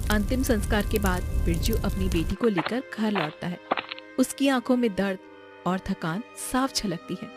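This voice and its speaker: A woman reading a scripted moral story for children as its narrator. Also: mid-range voice. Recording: music behind the voice. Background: background music.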